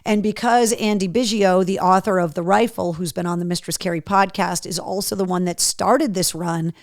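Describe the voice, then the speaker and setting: A middle-aged woman, radio and podcast host, running through concert and event announcements in an upbeat, chatty way with frequent ums. Her voice has grown deeper and worn-in from years of talking. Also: steady tone, normal speed, dry recording, clean studio sound. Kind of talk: casual live-show announcements.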